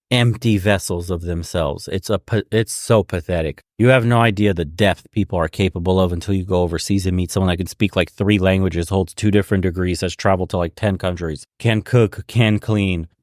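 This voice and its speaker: A deep-voiced man comments on a video he is watching. He is blunt and preachy and swears freely. His voice sounds close and dry, with no room around it. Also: darkish tone, no room sound. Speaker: channel host reacting to a video. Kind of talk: reaction commentary.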